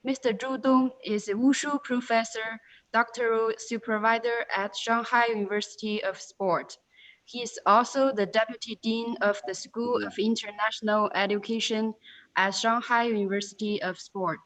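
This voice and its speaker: Higher-voiced woman giving a formal welcome. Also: steady tone, normal speed, a bit bright, poor audio. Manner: formal, clear introduction. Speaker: class presenter. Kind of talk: scripted announcement.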